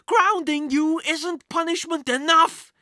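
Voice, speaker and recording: Shrill, outraged scolding mother. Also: slow pace, no echo, studio quality, heavily acted. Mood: furious. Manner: shouting.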